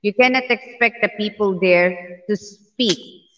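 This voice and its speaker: A woman from the Philippines with a Filipino accent, chatting haltingly on a livestream and searching for her words. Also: mid-range voice, a bit bright. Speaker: livestreaming artist, host. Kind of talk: casual chat on a livestream call. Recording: echoey, dull livestream call audio.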